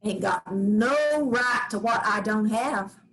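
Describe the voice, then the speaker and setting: An actress reading the part of a sharp-tongued farm matriarch in a staged play reading, curt and defiant. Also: female voice, medium pitch, fairly even intonation, takes it slowly. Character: hard-bitten farm matriarch, older woman, sharp-tongued.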